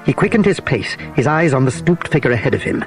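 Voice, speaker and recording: A man's voice: fairly high pitch, very animated, fast talker, soft background music. A man narrating a children's story as a scripted, dramatised audiobook.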